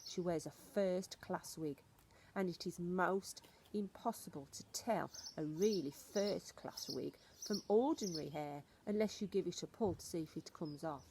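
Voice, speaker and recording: A woman's voice: lowish voice, measured pace, noisy background. Woman acting an emphatic, stern old grandmother. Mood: relishing, instructive. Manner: emphatic, instructive, snappish.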